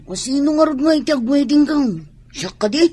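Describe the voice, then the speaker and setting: A woman's voice: high voice, lively intonation, brisk, dark tone. A woman acting an earnest, plaintive tomboy sweetheart in a radio drama. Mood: concerned, coaxing. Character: lovestruck tomboy sweetheart, earnest, reluctant to wear a gown.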